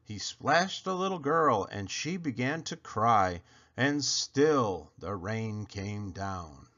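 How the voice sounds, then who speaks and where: A man reading a children's picture book aloud, expressively and with dramatic pauses. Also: fairly low pitch, very animated, fairly bright tone, lo-fi sound. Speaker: read-aloud storyteller.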